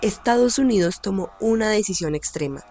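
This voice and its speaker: A woman's voice: medium pitch, lively intonation, a little fast, dark tone, natural voice. A woman playing a serious radio news anchor, announcing a scripted wartime bulletin in a formal manner.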